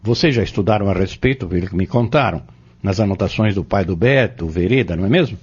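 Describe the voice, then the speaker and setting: A man playing a patient, earnest Spiritist teacher in an audio drama, explaining step by step in a measured, didactic way. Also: sits low, breathy edge. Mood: earnest, probing. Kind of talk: scripted audio drama dialogue. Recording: dull lo-fi audio.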